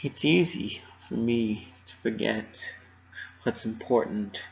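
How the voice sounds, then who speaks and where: A man's voice: fairly low pitch, slow pace, gravelly, lo-fi sound. A man voicing a distraught young female pony in a dramatic story reading, with a shaky, tearful delivery. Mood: weak, tearful.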